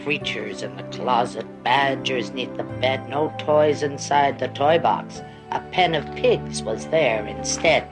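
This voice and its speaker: A woman playing a gentle visitor to a sick child in a television drama, with a playful storytelling manner. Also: a bass voice, a little slow, few pauses, over music.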